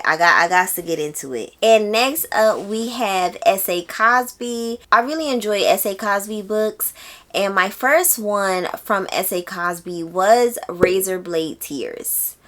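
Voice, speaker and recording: A woman's voice: on the low side, fairly bright tone. A woman talking casually to viewers as a book channel host, conversational and emphatic, often repeating phrases to stress a point.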